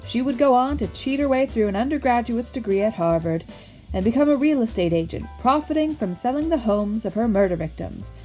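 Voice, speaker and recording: A woman's voice: on the high side, animated, brisk, dark tone, lightly acted. A young woman reading scripted storybook-like narration for a radio play. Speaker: epilogue narrator. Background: music.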